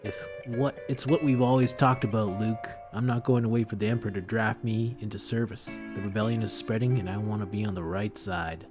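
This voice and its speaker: A man's voice: lowish voice, steady tone, brisk, hardly any pauses, music in the background, lightly acted. A man voicing an earnest, conspiratorial young rebel confiding in his friend, in an amateur table read of a film script. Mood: secretive, determined.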